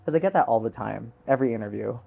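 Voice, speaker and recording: A woman's voice: extremely low pitch, racing, vocal fry, breathy edge. Joking woman guest. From United States. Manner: animated, joking, self-mocking. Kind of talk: casual interview chat.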